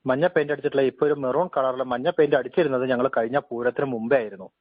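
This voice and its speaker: Male caller, polite but insistent complainant. Very quick delivery, thin telephone sound. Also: medium pitch, fairly even intonation, no echo. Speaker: temple head priest, complainant caller. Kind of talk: formal phone complaint. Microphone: telephone call. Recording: tinny narrow phone line.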